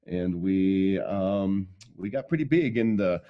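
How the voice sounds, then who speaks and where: A middle-aged man from Michigan, telling his life story as an interview guest in a relaxed way, with many ums and laughs. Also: low voice, slow, muffled recording. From Michigan, USA. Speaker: guest, sound healer.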